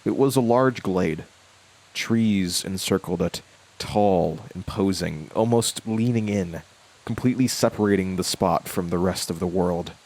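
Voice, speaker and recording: A man playing a brooding, haunted narrator in a horror audio drama, with a grave, halting and reflective delivery. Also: low voice, a little mellow, poor audio.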